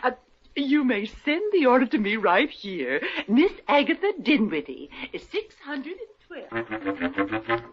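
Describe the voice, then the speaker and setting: An actress playing a fluttery, easily flattered elderly spinster in a 1950s radio comedy. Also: female voice, medium pitch, slow. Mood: delighted. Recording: dull lo-fi old radio transcription.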